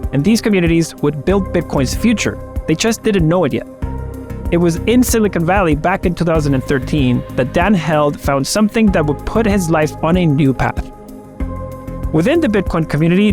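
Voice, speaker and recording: A man hosting an interview, opening with scripted narration and then asking his guest questions. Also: fairly high pitch. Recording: clear music bed under voice.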